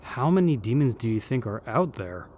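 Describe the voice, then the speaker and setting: A man's voice: mid-range voice, very animated, moderate pace, darkish tone, a little hiss or hum, lightly acted. A man playing a grumbling, sceptical ghost in an audio drama, with dry, sardonic delivery.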